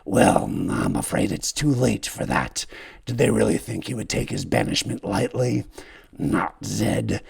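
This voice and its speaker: A man voicing a dying old male servant who gasps and croaks in a bitter whisper. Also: fairly low pitch, unhurried, full character voice. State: dying, gasping.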